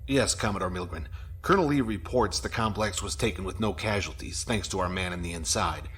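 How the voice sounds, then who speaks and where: An actor playing a formal, deferential military aide giving reports in a science fiction audio drama. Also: male voice, sits low, brisk, a bit bright, slight background noise. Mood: dutiful.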